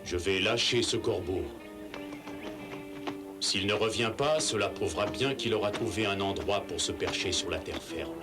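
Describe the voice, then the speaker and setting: Un comédien de dessin animé en français qui joue un patriarche patient, croyant, au ton solennel et posé. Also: male voice, deep voice, normal speed, natural voice. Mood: solemn, encouraging. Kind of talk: French cartoon voice acting. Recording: music behind the voice.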